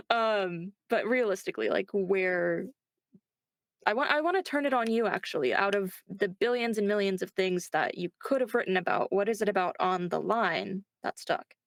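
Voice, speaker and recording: A woman's voice: mid-range voice, moderate pace, dry recording. Female interview guest, mid-range voice, dry sound, casual slangy delivery with a joking streak. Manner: informal, slangy, jokey. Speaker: voice actor being interviewed. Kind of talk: casual chat.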